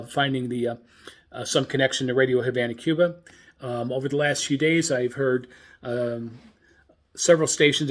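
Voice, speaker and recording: Halting man hosting a hobby radio show. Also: mid-range voice. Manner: hesitant, halting, frequent long filler pauses.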